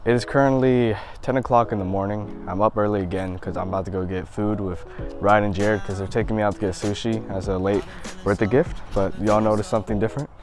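Soft-spoken young man vlogging on a lapel mic. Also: fairly low pitch, steady tone, fast, music in the background. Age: young adult. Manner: soft-spoken, quiet, easygoing. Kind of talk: casual vlog talk to camera. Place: outdoors on a suburban street, then inside a restaurant. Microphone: clip-on lapel mic.

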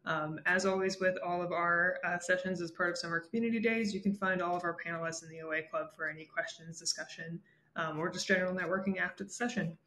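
A woman's voice: fairly low pitch, fairly even intonation, bright, forceful tone, rough recording. A woman introducing an online panel over a video call, upbeat with frequent ums. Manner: quick, upbeat with filler ums. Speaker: event host.

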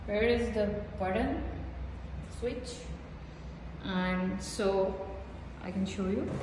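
A woman vlogger giving a slow, simple walk-through of a small indoor gym, filming on her phone and pointing out each machine. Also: mid-range voice, background noise. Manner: simple repetitive pointing-out explanations. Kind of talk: casual gym tour vlog. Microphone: phone held while filming. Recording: echoey room with hall reverb.

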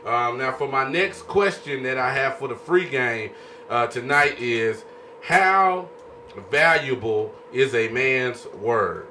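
A man's voice: medium pitch, animated, slow pace, sharp tone, slight background noise. An American man hosting a casual podcast chat, repeating his phrases and leaning on filler tags. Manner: repeats phrases, peppers talk with "you know what I'm saying". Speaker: podcast host. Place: small room set up as a podcast studio. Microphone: studio mic on boom arm.

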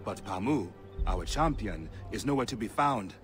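An actor playing a gentle, weary male village priest who speaks politely in a video game. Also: on the low side, expressive, soft background music. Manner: polite, measured, courteous.

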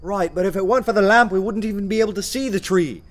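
An actor playing a witty, self-assured young poet in a radio play, courteous, dry and eloquent. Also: male voice, high-pitched, fast, lightly acted. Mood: teasing, calm. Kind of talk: full-cast radio play acting with first-person narration.

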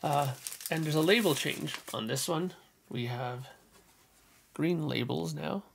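A man's hoarse, gravelly voice. He chats enthusiastically in a small home room, with a faint hiss under the sound. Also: a little high, takes it slowly. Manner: enthusiastic, frequent throat clearing. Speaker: record collector vlogger. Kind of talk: casual record collection walkthrough. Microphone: headphones on, webcam or desk mic.

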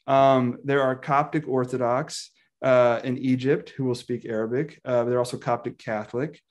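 A man in his thirties from Texas, a scholar talking as a guest on a video call, animated, laughing often and restarting his sentences. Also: mid-range voice, moderate pace. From Texas, United States. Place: indoor room with plain wall. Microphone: video call webcam.

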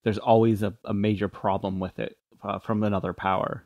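A man's voice: on the low side, level tone, a little fast, darkish tone, no room sound, highs cut off. A man interviewing a guest as podcast host in a casual, conversational style.

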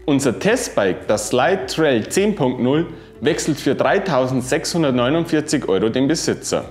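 A man in his thirties giving a scripted bike review, reading specifications in an even, informative way. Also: mid-range voice, normal speed. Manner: even, informative delivery dense with specs. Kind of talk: scripted product review narration. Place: wooden-walled workshop room, indoors. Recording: roomy sound with faint music.

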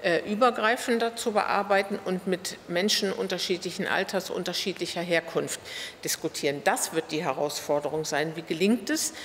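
A woman in her fifties explains as an official being interviewed. Her voice is a little low. It rings in a reverberant room with faint noise behind. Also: moderate pace. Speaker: city social affairs official. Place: wood-panelled meeting room.

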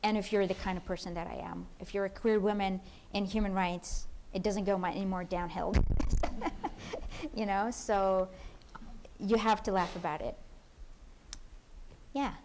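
Earnest woman speaker, halting restarts. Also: mid-range voice, steady tone, darkish tone, a little hiss or hum. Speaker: panel speaker. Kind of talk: conference panel answer. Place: conference hall stage.

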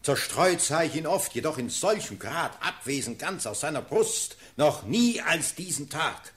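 A man's voice: a little high, very animated, measured pace, a bit bright. An actor playing an emphatic military officer addressing his sovereign in declamatory verse in a classical radio play.

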